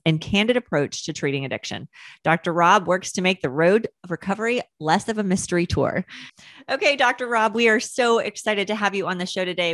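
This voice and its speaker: A woman hosting a podcast, reading a scripted introduction in a polished, measured way. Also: lowish voice, dry recording. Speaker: podcast host.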